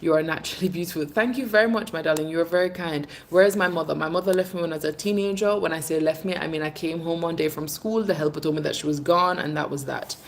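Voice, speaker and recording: Woman, thirties, lowish voice, British-Jamaican mix accent, chatty livestream host, affectionate. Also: quick pace, hardly any pauses, slight background noise. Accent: British English mixed with Jamaican. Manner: chatty, affectionate, calls viewers babes and darling. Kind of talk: casual live chat, reading viewer comments.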